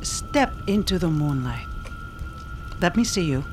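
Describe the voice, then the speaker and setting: An actress playing an anguished, devout and fearful mother in an audio drama, with a fervent, deliberate, grief-stricken delivery. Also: deep voice, expressive. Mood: grieving, hushed. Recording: loud music under the voice.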